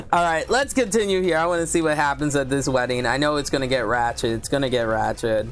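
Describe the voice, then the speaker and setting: A woman with a deep voice hosting a casual book livestream, chatting candidly with viewers and reading their comments aloud. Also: faint music behind. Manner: speaks slowly with many fillers.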